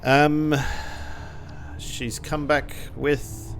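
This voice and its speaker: A woman's voice: deep voice, little pitch movement, drawn-out words. A woman improvising in a tabletop role-playing game, casual and hesitant as she searches for words. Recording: music behind the voice. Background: background music.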